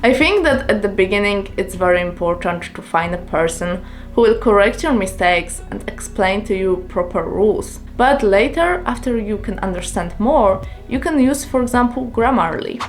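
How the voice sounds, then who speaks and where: A woman whose first language is Polish talks through her journaling tips in English at a medium pitch. Her delivery is calm and earnest, and she moves through them point by point like a prepared script. A faint bed of music plays underneath her voice. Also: normal speed. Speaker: language-learning YouTuber.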